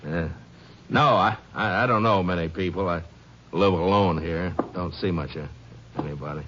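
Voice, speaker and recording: An actor playing a nervous, evasive middle-aged rancher questioned by lawmen in a vintage radio drama, hesitant and stammering. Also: male voice, low voice, normal speed, fairly bright tone. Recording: muffled vintage radio broadcast.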